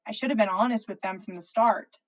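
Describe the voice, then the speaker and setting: A woman's voice: medium pitch, brisk, lightly acted. A woman reading a story and voicing an earnest, confused, lovestruck teenage witch with a pleading, questioning manner. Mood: earnest, confessional.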